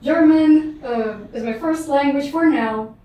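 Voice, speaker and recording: Young Russian-native woman guest, slow and hesitant, a little high, miked from a distance. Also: dark tone. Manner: hesitant, many fillers, wry. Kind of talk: casual chat. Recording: echoey room, far from the mic.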